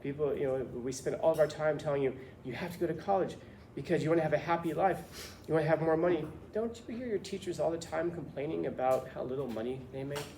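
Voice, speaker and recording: A man's voice: on the high side, quick pace, creaky, a little mellow. A man teaching a class, lecturing students bluntly with lots of rhetorical questions. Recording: echoey classroom with faint room noise.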